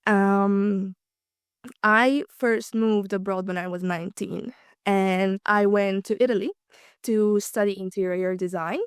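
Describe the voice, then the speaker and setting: A woman's voice: mid-range voice, steady tone, slow, smooth, no echo, studio quality. A woman in her twenties from Slovakia, a native Hungarian speaker, chatting casually as a podcast guest with frequent ums and light laughs. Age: 20s. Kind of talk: casual conversational interview.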